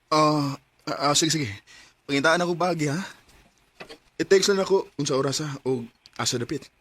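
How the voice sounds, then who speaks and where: A man's voice: a little high, moderate pace, a bit bright, close to an everyday voice. Man playing earnest young suitor, faint noise behind. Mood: eager. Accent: Filipino, Bisaya. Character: devoted young male suitor, meek and earnest. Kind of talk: Philippine radio drama acting.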